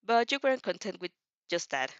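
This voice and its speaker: A woman's voice: mid-range voice, big pitch swings, fairly quick, dry recording. Woman reading a story aloud, stumbling slightly. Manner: stumbles over words and rereads phrases. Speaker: amateur fan fiction reader. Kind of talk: storybook narration read aloud. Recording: dry, slightly dull lo-fi recording.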